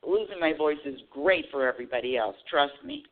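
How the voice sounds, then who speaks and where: A woman's voice: deep voice, fairly quick. Woman, radio host, earnest spiritual monologue, repeats herself, thin phone-like sound. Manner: earnest, animated, repeats and restarts phrases. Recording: tinny phone-line audio.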